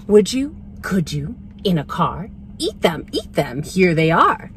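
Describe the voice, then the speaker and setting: A persistent storybook pest coaxes in sing-song rhymed questions, as read out by a woman. His pitch bounces around a great deal, and light vehicle cabin noise is audible. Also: big pitch swings, a little slow. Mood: eager coaxing. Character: persistent, coaxing male food pusher in a storybook. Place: inside a parked vehicle.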